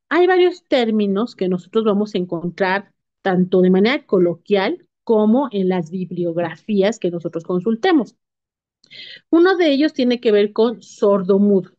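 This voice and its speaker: A woman teaching a class, explaining terms in a steady, patient way with occasional fillers and self-corrections. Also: mid-range voice, no room sound, highs cut off.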